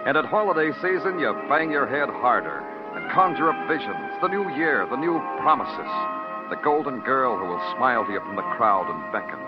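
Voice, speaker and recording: Hardboiled radio noir detective, played by a man: wry, clipped, poetic, expressive mid-pitched voice over loud music. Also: normal speed, close to an everyday voice. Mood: brooding, dramatic. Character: world-weary male New York police detective, hardboiled, poetic. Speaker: narrator and lead actor. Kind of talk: 1950s radio noir acting. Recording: muffled old radio recording, loud music.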